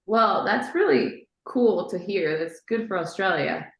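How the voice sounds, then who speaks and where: Gravelly low woman in an echoey room. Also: expressive, a little slow, a bit bright. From Mexico. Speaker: guest.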